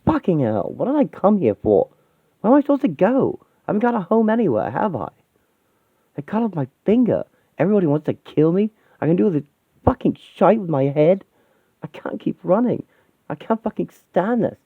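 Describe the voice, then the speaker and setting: Guy doing a sweary runaway teen lad, high agitated voice, pleading, phone-like sound. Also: wildly swooping pitch, normal speed, few pauses, husky, no echo, heavily acted. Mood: distraught. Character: frantic, foul-mouthed runaway teenage boy.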